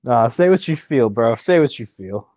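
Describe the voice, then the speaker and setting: A man's voice: medium pitch, expressive, normal speed, warm tone, dry recording. A man from Indiana with a Midwestern accent mixed with some Southern, chatting casually on a dull lo-fi online call. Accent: American Midwestern with Southern touches. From Indiana, United States. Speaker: livestream panelist. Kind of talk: casual chat.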